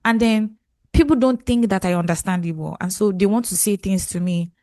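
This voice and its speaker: A Nigerian woman talks animatedly on a podcast, piling up phrases and correcting herself as she goes. Her voice sits at a middle pitch. The sound is dry and close, with no room behind it. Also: a little fast, dark tone, no room sound. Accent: Nigerian English. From southwest Nigeria. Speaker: podcast co-host. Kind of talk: casual podcast chat.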